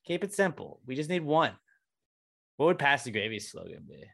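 A man's voice: a little high, moderate pace, creaky. A man, hosting a casual podcast chat and joking around. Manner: joking, animated, laughs often. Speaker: podcast host.

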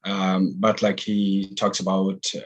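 A man's voice: deep voice, monotone, unhurried, highs cut off. A man from Nepal with a Nepali accent, a meditation teacher answering interview questions hesitantly with many fillers. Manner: hesitant, many ums and likes.

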